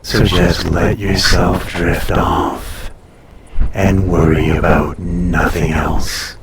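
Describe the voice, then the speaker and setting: A man playing an ancient dragon familiar in an intimate roleplay audio, tender, possessive and teasing, soothing and often dropping to a breathy murmur. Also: sits low, level tone, slow, reverberant, distant mic, noisy background. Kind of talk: intimate roleplay audio drama, personal attention.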